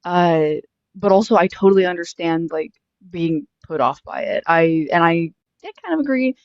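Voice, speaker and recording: A woman's voice: fairly low pitch, animated, moderate pace, dry recording, muffled recording. A woman in her twenties doing a casual street interview, chatty and friendly with lots of fillers. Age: 20s. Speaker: host interviewing strangers.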